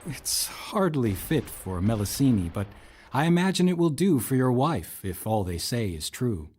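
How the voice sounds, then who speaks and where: An actor playing a loyal, deferential military aide in an audio drama, respectful and discreet, with a husky voice. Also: male voice, mid-range voice, big pitch swings, a little slow, darkish tone, slight background noise. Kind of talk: full-cast audio drama acting.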